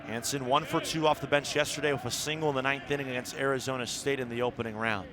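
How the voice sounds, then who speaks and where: A man's voice: mid-range voice, fast talker. Male baseball radio announcer over ballpark noise. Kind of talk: live radio sports commentary. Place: outdoor ballpark broadcast. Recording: steady outdoor ballpark background noise.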